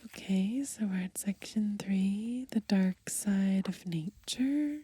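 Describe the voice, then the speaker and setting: Young woman, ASMR whisper, gentle, soft and mellow, very breathy, book reading outdoors. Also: medium pitch, slow pace. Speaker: ASMR creator. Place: outdoors in the grass.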